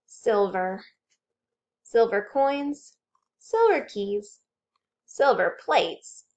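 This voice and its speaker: A woman hosting a children's storytime, reading aloud and chanting rhymes in an animated, exaggerated way for young children. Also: medium pitch, extremely slow, dull audio. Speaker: children's librarian storytime host.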